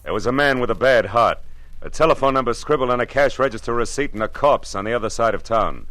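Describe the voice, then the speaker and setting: A man's voice: mid-range voice, fast, a bit bright, no room sound, lightly acted. An actor playing a wry, hard-boiled male private detective who narrates the story, in a dry, laconic, wisecracking manner, in 1940s radio drama style. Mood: narrating. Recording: old radio broadcast with music. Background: music.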